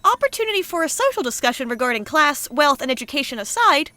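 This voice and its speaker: A quirky, eager female spy in an audio comedy. Her voice is very high and bright, chirpy and earnest, and it bounces around in pitch. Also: big pitch swings, fast talker, soft background music, full character voice. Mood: earnest, puzzled. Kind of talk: comedy audio drama acting.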